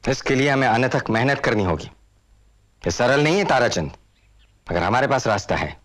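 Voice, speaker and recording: An actor playing a passionate, indignant social reformer in a period drama, declaiming like an orator. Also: male voice, mid-range voice, lively intonation, moderate pace, a bit bright, lightly acted. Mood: earnest oratory. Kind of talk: Hindi period drama acting.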